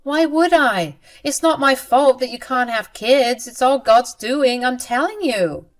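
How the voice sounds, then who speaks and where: A woman's voice: high-pitched, lively intonation, measured pace, pitched above the performer's own voice. Woman reading as a spiteful, preachy sister-in-law. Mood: scornful. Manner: sneering, preachy. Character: spiteful, self-righteous adult sister-in-law.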